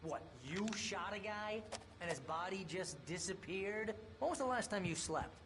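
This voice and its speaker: An actor playing a fretful middle-aged literary agent who fires off worried, sarcastic questions in a video game cutscene. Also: male voice, sits high, lively intonation, moderate pace, background noise. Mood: exasperated. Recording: game audio with music under it.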